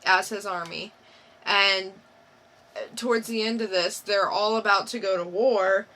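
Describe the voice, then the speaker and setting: A woman co-hosting a podcast, giving a casual unscripted review in a halting, unsure way, thinking aloud. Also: mid-range voice, fairly even intonation, pushed and bright. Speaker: podcast co-host reviewing comics.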